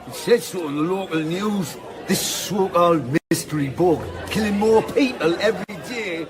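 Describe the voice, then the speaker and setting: An actor playing an excitable, hot-headed working-class family man in radio drama dialogue. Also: high voice, normal speed, music in the background, a crowd in the background, close to an everyday voice. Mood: angry, rousing.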